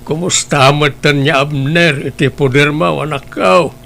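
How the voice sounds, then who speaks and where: A man voicing a stern elderly father who reproaches his grown daughter, speaking slowly and weightily in a family radio drama. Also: fairly high pitch, fairly even intonation, creaky, bright, forceful tone, full character voice. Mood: concerned. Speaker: voice actor.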